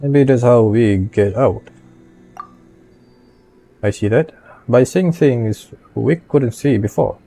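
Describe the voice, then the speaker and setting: Slow-talking man doing casual gameplay commentary. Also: medium pitch, vocal fry, warm tone, slight background noise. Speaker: video game streamer. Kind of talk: casual gameplay commentary, reading on-screen text. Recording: faint game music behind.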